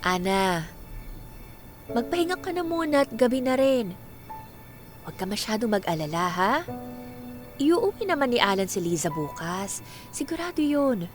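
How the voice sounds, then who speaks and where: A woman's voice: on the high side, big pitch swings, normal speed, long pauses. A woman acting a caring, reassuring middle-aged family friend in a Filipino radio drama. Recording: background music under the voice.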